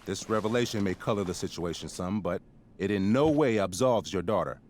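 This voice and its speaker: A man's voice: low-pitched, brisk, a little mellow, slight background noise, close to an everyday voice. A man playing a stern, pompous middle-aged school principal in a dramatic video game, speaking in formal, wordy phrases. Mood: grave, disappointed.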